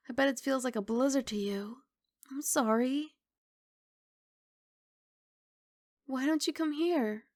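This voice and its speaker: A woman voicing a kindly young giant dragon girl in an ASMR roleplay, speaking in a soft, coaxing, reassuring and slightly bashful way. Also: on the high side, no room sound, studio quality, natural voice. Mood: tender, caring.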